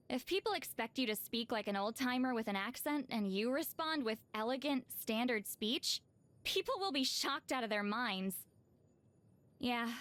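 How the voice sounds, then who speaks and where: High swoopy anime game shaman lady, kinda weary n dry, gets flustered and defensive easy. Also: a bit bright. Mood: matter-of-fact, dry. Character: young-looking shaman woman, reclusive, flustered, defensive, secretly worrisome. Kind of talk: video game character voice lines.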